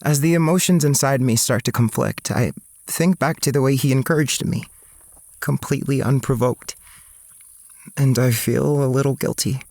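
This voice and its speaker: A man's voice: medium pitch, moderate pace, vocal fry, a little mellow, dry recording. An actor playing a self-conscious, dreamy young male student, narrating an audio drama in the first person with an earnest, introspective manner. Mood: wistful, conflicted.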